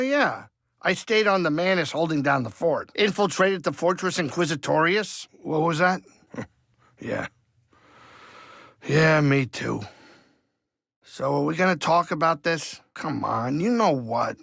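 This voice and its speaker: Video game alien cook-pilot, older, grumpy and rambling; highish voice with very animated pitch, colloquial and fretful. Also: male voice, vocal fry, no echo, clean studio sound, exaggerated voice. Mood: chatty, blustering. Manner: colloquial, fussy, blustering, rambling. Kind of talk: video game character dialogue.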